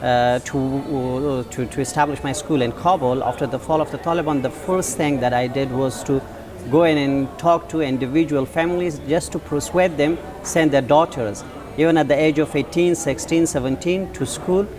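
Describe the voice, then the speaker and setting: A man in his forties with an Afghan accent, a teacher and school founder, answering interview questions in an earnest, halting way with many drawn-out fillers. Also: on the high side, steady tone. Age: 40s.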